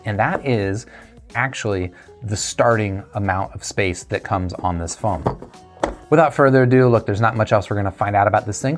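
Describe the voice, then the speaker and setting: A man chatting casually through a tech review and unboxing, conversational and thinking aloud as he goes. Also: fairly low pitch.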